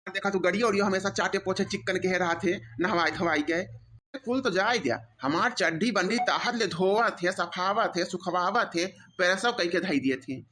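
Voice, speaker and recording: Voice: mid-range voice, moderate pace, fairly bright tone, slight background noise, close to an everyday voice. A performer voicing a smug, mocking young husband in an Awadhi cartoon comedy, teasing and boastful with an Awadhi accent. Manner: teasing, boastful, rambling mockery.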